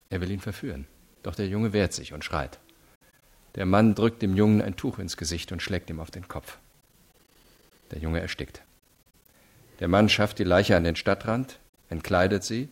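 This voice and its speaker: Hard-nosed 1920s detective for radio crime play: deep register, clipped, insinuating questioning. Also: male voice. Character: hard, probing male police investigator in 1920s Chicago. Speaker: police interrogator. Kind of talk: German radio crime drama acting.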